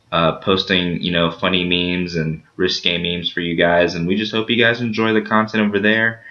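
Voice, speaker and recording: Male podcast host, very low and bright-edged, loose chatty delivery, dull lo-fi recording. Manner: rambling, filler-heavy promo reads. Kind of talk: casual podcast chat. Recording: dull lo-fi sound.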